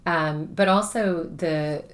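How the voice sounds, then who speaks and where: Guest, woman, low projecting voice, relaxed chatty delivery with fillers. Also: takes it slowly. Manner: chatty, thinks aloud with many fillers. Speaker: guest, spiritual teacher. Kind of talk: casual conversation.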